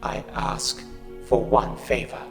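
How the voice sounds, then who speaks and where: A man playing a dying, mournful Cyberman in a fan audio drama, speaking in halting, solemn phrases with a gravelly robotic monotone. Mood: pleading. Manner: slow, halting, solemn delivery in short phrases. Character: dying Cyberman, damaged male cyborg, mournful and pleading. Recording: long reverb, music underneath.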